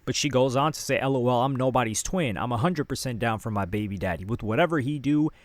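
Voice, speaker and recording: An American man with a mid-range voice presents celebrity gossip commentary, reading posts out loud between his own remarks. The sound is dry, with very little room in it. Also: mid-range voice, fast talker, no room sound.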